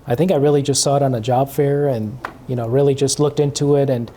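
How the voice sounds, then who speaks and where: A man from the Chicago area, a pain management doctor, giving a relaxed, conversational talk at a community event. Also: mid-range voice, fast talker, slight background noise. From Chicago area, USA. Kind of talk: community talk, personal background.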